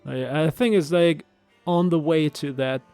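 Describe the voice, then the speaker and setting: A man's voice: fairly high pitch, measured pace, a little mellow, clear, resonant tone. A man chatting casually as a co-commentator, explaining video game voice lines. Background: video game music and voice clips.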